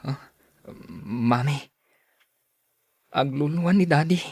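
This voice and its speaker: A man's voice: a little high, slow, darkish tone, breathy edge, exaggerated voice. A man playing an aggrieved but dutiful young son in an audio drama. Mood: dutiful, concerned.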